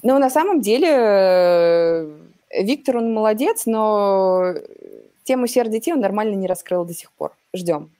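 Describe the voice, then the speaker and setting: A woman's voice: medium pitch, expressive, a little slow, warm tone, no echo. A young woman from Ukraine, a podcast guest in casual chat who talks animatedly, laughs often and drops slang.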